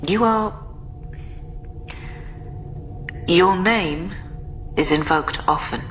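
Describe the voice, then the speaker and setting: An actress in a radio drama playing a prim, ageing woman who watches over a quarantined prisoner, speaking in a formal, measured and solemn way. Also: fairly low pitch, lo-fi sound, faint music behind. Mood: grave. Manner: formal, measured, solemn, breathy hushed moments.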